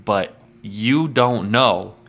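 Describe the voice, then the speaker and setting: Bright-voiced man hosting a podcast. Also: fairly low pitch, drawn-out words. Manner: playful, sets up role-play bits. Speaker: podcast co-host. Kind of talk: casual comedic podcast chat.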